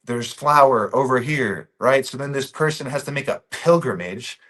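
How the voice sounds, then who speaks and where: A man from Bosnia talks as a guest in a casual podcast chat. His voice sits at an ordinary middle pitch. He speaks earnestly and stresses his points by repeating them. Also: normal speed, a bit bright. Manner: earnest and emphatic, repeats key phrases.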